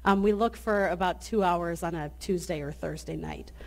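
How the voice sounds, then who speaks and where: A woman's voice: fairly low pitch, moderate pace. A woman in her thirties, a pastor giving friendly, inviting announcements and a blessing at a lectern mic in a church sanctuary. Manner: friendly, inviting, with many restarts. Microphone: lectern microphone.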